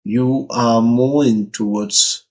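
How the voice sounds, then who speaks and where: Indian man leading guided meditation. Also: medium pitch. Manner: measured short phrases, repetitive, incantatory.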